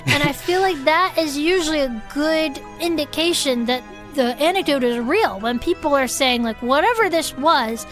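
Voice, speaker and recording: A woman's voice: sits high, moderate pace, few pauses, fairly bright tone, faint music behind. A woman playing a chatty, earthy cryptid investigator in improvised comedic fiction podcast banter, talking in an earnest, joking, conversational way. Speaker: podcast co-host.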